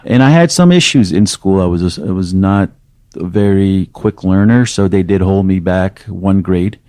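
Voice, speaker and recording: A man originally from Pakistan, a native Urdu speaker, answering interview questions as an IT executive in a calm, matter-of-fact way. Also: low voice, moderate pace, no echo, clean studio sound. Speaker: guest, IT executive. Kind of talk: conversational interview.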